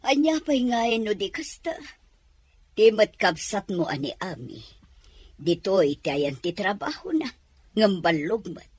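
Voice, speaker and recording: A woman playing a weary, disapproving mother in a drama, with a husky voice. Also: mid-range voice, big pitch swings, unhurried, long pauses, a little mellow, dry recording. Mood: weary reproach. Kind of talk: Ilocano drama acting.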